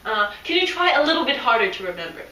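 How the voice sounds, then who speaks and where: A woman's voice: fairly high pitch, sing-song, fast talker, sharp tone. A woman playing a pompous, self-absorbed male psychoanalyst in an amateur comedy skit, clinical and easily flustered. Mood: analytical. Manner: clinical, self-important, flustered stammering when challenged. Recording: roomy echo, distant microphone.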